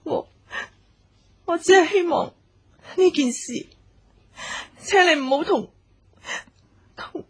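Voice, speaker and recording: A woman's voice: extremely high pitch, takes it slowly, long pauses. An actress with a Hong Kong accent playing a coy, cautious young woman in a radio drama, hesitant and pleading. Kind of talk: Cantonese radio drama acting.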